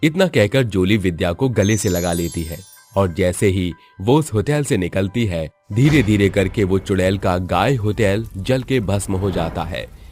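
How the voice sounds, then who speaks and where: Guy narrating a scary story, low deep voice, some music behind. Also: a little mellow. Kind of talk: scripted horror story narration.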